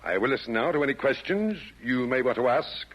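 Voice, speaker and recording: Casting: a grand, pompous Satan for vintage radio drama, husky, big swings of pitch, rhymed speechifying. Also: male voice, expressive, fairly quick, dull audio. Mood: grave, oratorical. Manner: theatrical, oratorical, rhymed delivery. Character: pompous male Devil chairing a meeting of demons. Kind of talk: 1940s radio acting. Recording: old 1940s radio broadcast.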